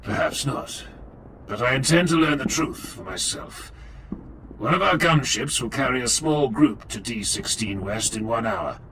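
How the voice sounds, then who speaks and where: A man playing a stern, relentless warrior chaplain, grave, measured and commanding, in a dramatic audiobook style. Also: medium pitch, lively intonation, sharp tone, rough recording. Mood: stern, probing. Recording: clear noise and ambience behind.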